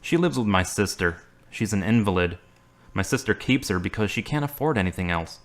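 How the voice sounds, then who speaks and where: A man's voice: on the low side, expressive, close to an everyday voice. A man playing an earnest, devout middle-aged man. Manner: earnest, measured. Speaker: radio drama actor. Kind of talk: amateur radio drama.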